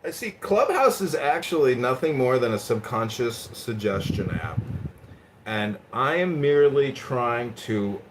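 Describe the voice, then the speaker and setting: A man hosting a live audio chat, roasting his guest in a mocking, provocative way, swinging between loud and quiet. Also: medium pitch, animated, a little slow, noisy background. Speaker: host.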